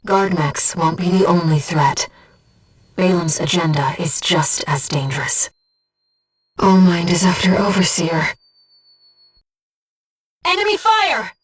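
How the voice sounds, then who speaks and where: A woman voicing an earnest, gentle guide who speaks inside a pilot's head in a mecha video game, in measured scripted delivery. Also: fairly low pitch, big pitch swings, far from the mic, full character voice. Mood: urgent alarm. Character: soft-spoken, earnest female voice in a pilot's head, loyal guide. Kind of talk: scripted video game voice acting, tactical callouts.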